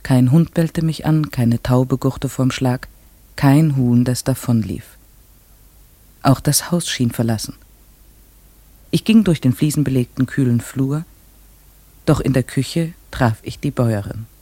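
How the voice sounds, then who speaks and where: Village schoolteacher, young woman, measured and gently probing; husky mid voice, dry studio. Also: a bass voice, no echo. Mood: reflective. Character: young unmarried female village teacher, strict but kind. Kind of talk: 1950s radio drama acting with first-person narration.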